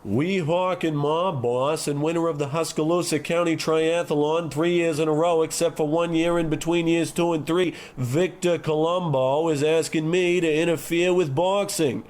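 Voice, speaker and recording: An actor playing a morose, earnest small-time gangster son in a comic old-time radio play. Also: male voice, a little high, fairly even intonation, normal speed, hardly any pauses, darkish tone, lightly acted. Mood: troubled, earnest. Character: glum small-time gangster son who loves boxing.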